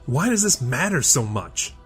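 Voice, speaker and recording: An actor playing a weary, distrustful, protective older brother in an audio drama, speaking in a guarded, skeptical way. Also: male voice, medium pitch, sing-song, normal speed, a bit bright, breathy edge, soft background music, heavily acted. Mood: wary, challenging.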